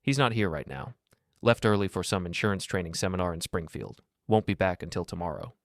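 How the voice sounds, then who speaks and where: A man's voice: sits low, animated, a little fast, no room sound, studio quality. Man voicing a no-nonsense, concerned woman. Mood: guarded concern. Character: no-nonsense married woman, a nurse, guarded and concerned.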